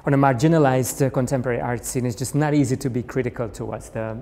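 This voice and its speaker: Man, thirties, art critic, panel talk, hesitant restarts, relaxed dark tone, roomy reverb. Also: medium pitch, fast talker, slight background noise. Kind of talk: panel discussion.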